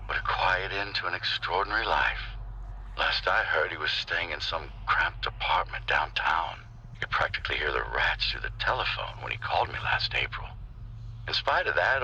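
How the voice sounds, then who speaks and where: An actor playing an older business tycoon in a game audio log, reflective and measured, with a touch of grandeur. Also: male voice, deep voice, animated, sharp tone, lo-fi sound, full character voice. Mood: wistful, mournful. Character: wistful, ambitious older male business tycoon. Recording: faintly noisy old audio log.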